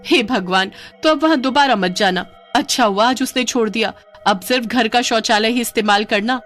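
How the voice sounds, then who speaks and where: A woman's voice: on the high side, normal speed, a bit bright, faint music behind, natural voice. A woman voicing an exasperated village wife with a scolding, exclamatory manner in a comic story. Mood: alarmed, curious. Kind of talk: Hindi comic story acting.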